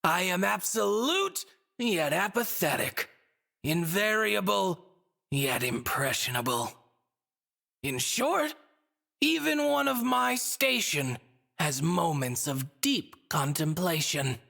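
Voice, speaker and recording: Game deity: bored, teasing, theatrical rhymer, very animated pitch, gravelly creak, music behind. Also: female voice, slow pace, heavily acted. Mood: aloof, musing. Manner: theatrical, teasing, rhyming riddles. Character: whimsical, bored, cryptic female god speaking in rhyme. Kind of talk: video game cutscene voice acting. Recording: music under the voice.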